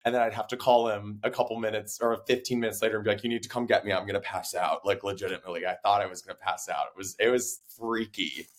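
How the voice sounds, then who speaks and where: Young male guest, hoarse and low, animated storyteller. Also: fast talker, hardly any pauses. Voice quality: deeper than usual. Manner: animated storytelling, acts out his own quotes. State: hoarse from laryngitis. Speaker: singer-songwriter guest. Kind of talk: casual interview chat.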